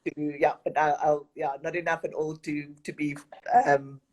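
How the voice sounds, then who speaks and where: A woman's voice: low-pitched, little pitch movement, normal speed. A middle-aged South African woman whose first language is Afrikaans, answering interview questions with many fillers and restarts. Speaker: resident director of study abroad program.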